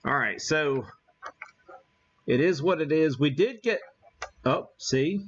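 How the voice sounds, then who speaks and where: A man hosting a live hobby stream, giving a casual demo and thinking aloud in a halting way. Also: a little high, animated, lo-fi sound. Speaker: ham radio live stream host.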